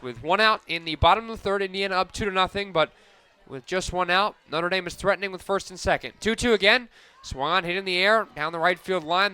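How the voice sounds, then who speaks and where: A man calling softball play-by-play for radio, narrating each pitch and play in crisp descriptive detail. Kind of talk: live softball radio commentary.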